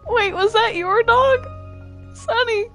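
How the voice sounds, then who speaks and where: A giggly, excitable woman chatting casually with friends while playing a game. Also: extremely high pitch, takes it slowly, soft background music. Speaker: player in a group gaming roleplay series. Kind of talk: casual gaming chat with friends.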